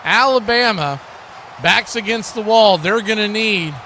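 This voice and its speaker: Male play-by-play announcer, higher-pitched, live football call over clear background noise. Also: a little slow, pushed and bright. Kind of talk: live American football commentary.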